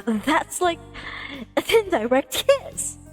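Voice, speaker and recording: A woman's voice: extremely high pitch, very animated, slow, in a large hall, voice pushed up, heavily acted. A woman voicing an exasperated, easily embarrassed young man with whiny, indignant outbursts in a visual novel. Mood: flustered. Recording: music playing behind the voice.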